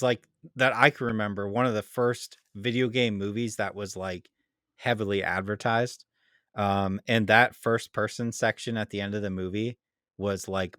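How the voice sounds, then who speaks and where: Casual man podcasting, fairly low dry voice. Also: fairly bright tone, smooth, no room sound. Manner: informal, conversational debate. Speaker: podcast host.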